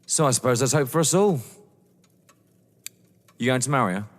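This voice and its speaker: A man's voice: medium pitch, animated, moderate pace, long reverb tail, natural voice. A man playing a proud, guarded young lover in a theatrical audio drama, answering with dry retorts. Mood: dry, probing.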